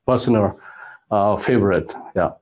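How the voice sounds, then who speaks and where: A middle-aged man from Burma, answering interview questions in a halting, gentle way as he searches for his words. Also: fairly low pitch, muffled recording. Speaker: guest expert.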